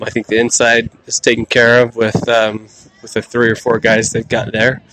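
A man's voice: mid-range voice, background noise. A man hosting a casual solo sports podcast, breaking down a team's players with frequent ums and restarts. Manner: halting, lots of ums and restarts. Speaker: podcast host.

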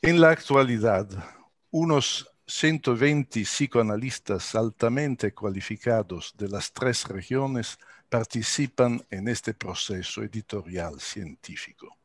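An older man with an Italian accent, a native Italian speaker, giving a formal prepared speech as a psychoanalyst, measured and careful with hesitant fillers, on a headset mic over a video call from a home study. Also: fairly low pitch, dry recording.

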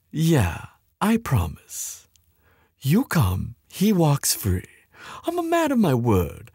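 A man acts out a smug villain in an audio roleplay. His pitch swings wildly as he makes taunting, mock-polite threats. The voice is husky and the recording close and dry. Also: a little mellow, no room sound, heavily acted. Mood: gloating. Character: gloating, menacing male villain making threats by phone.

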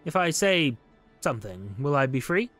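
A man's voice: a little high, big pitch swings, moderate pace, a bit bright, soft background music. A man voicing a nervous, self-serving witness in a historical game, explaining himself evasively. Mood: uneasy, confessing.

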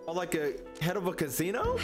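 A young American man giving casual, excited reaction commentary, slangy and playful, often repeating his phrases. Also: high-pitched. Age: young adult. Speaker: reaction video host. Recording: loud game music behind voice.

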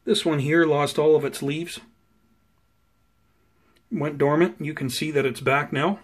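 A man giving a casual, unscripted plant update in an unhurried, explanatory, conversational way, recorded indoors on a handheld camera. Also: a little high, steady tone. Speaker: host of a plant-growing video channel. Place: indoors at home beside potted seedlings.

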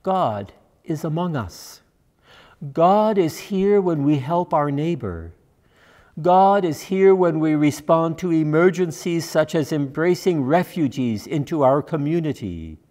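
Senior male minister, slightly high-pitched, measured and solemn delivery of prepared worship text. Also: warm tone. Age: older. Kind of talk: sermon and pastoral prayer. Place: church sanctuary, at a lectern.

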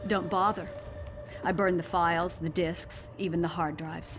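A woman's voice: fairly low pitch, steady tone, moderate pace, highs cut off, noisy background, close to an everyday voice. A woman playing a ruthless, proud middle-aged corporate villain in a video game, haughty and cutting. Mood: cold, domineering. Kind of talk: video game cutscene acting.

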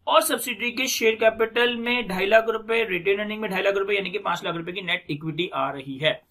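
A man with a notably high, bright and forceful voice delivers a lecture in a Pakistani accent. He explains step by step as an accounting teacher, repeating key phrases for emphasis and dropping in English technical terms. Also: brisk. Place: indoors, recorded screen lecture at a desk.

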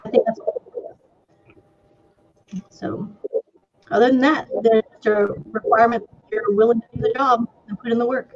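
A woman's voice: fairly high pitch, a little mellow. A woman guest on a video call panel, explaining rules in a matter-of-fact way with many ums. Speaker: panel guest, office holder. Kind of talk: casual panel talk. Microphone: webcam on video call. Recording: dry, muffled video call audio.

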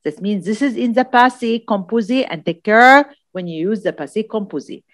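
A woman's voice: mid-range voice, moderate pace. A woman teaching French for an exam, explaining in English, repeating phrases and often asking "d'accord?". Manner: repetitive, checks in with "d'accord?" often. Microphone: voice-over on screen recording.

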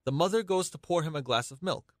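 A man's voice: fairly high pitch, fast talker, no echo, clean studio sound, natural voice. A man as narrator, reading scripted stage directions for a radio play. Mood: matter-of-fact.

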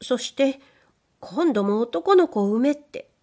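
A shy young maidservant, whispering and bashful, her voice lifted high and light, as a woman narrator puts her on. She speaks softly and hesitantly, in a gentle storybook reading. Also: animated, measured pace. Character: shy young maidservant girl, soft-spoken.

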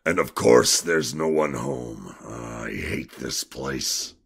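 A man acts a gruff, impatient adventurer in an audio drama. The voice is low and gravelly, full of fry. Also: slow, full character voice. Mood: grumbling, impatient. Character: gruff, impatient male man of action, Mick.

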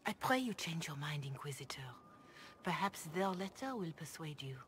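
A woman voicing a devout, compassionate older cleric in a video game, speaking in a formal, measured, earnest way. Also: low voice, sing-song, fairly bright tone. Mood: earnest, pleading. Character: grave, devout, compassionate older Chantry mother. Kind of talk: video game dialogue acting. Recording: clear background noise and ambience.

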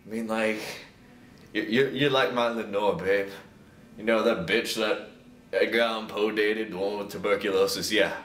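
A man playing a drunk, lovesick, melodramatic young guy, rambling haltingly and repeating himself as if on a comic voicemail. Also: lowish voice, steady tone, fairly bright tone, natural voice. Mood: maudlin, rambling. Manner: slurred, halting, repetitive, overwrought. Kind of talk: comedic rambling voicemail monologue. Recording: roomy, distant, noisy home recording.

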